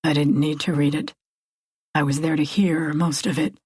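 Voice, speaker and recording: A woman's voice: sits low, flat delivery, normal speed, a little mellow, slightly rough, dry recording, voice pushed down. Weary husband, voiced by a woman, grieving father, firm, exasperated, dry audiobook sound. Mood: weary, resigned.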